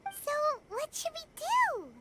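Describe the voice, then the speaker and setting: A woman voicing a tiny, naive, excitable fairy companion with a squeaky childlike voice in a video game. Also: takes it slowly, very noisy, full character voice. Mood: earnest.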